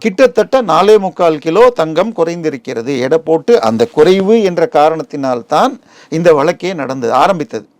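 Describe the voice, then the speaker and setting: A man's voice: fairly high pitch, animated, normal speed, darkish tone, dry recording. A man with a Tamil Nadu accent giving digressive, explanatory commentary in an interview, often adding comparisons and figures. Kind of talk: explanatory news interview talk.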